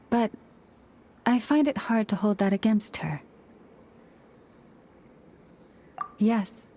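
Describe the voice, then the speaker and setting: A woman voicing a gentle, solemn young priestess leader in a video game, with measured, formal delivery. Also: medium pitch. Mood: calm, reflective.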